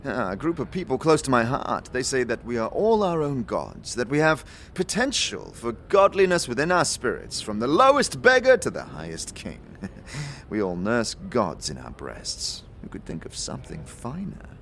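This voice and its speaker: A man's voice: mid-range voice, huge pitch swings, normal speed, vocal fry, fairly bright tone, a little hiss or hum, voice pushed up, heavily acted. Man acting sly, philosophical barkeep. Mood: delighted. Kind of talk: audio drama character acting.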